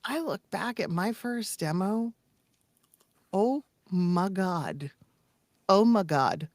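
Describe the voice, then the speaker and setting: A woman coaching in casual talk, emphatic and expressive, acting out imagined quotes. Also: mid-range voice, slow pace, no room sound. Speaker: voice-over coach and podcast co-host.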